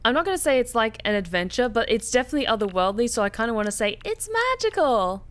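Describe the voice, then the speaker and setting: A woman's voice: on the high side, very animated, fast talker. A woman streamer giving casual commentary while playing a game, talking in a chatty, wry, sarcastic way into a close mic.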